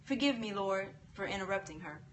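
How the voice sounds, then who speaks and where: A woman reading the lines of a charming, smooth-talking young man, in a slightly softer voice. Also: medium pitch, animated, fairly quick, slight background noise, natural voice.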